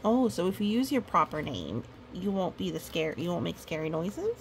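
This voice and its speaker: A woman's voice: fairly low pitch, big pitch swings, normal speed, a little hiss or hum. A woman hosting a chatty picture-book storytime, with playful, rambling asides. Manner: playful, rambling asides with little sung bits and hums. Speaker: storytime reader and host.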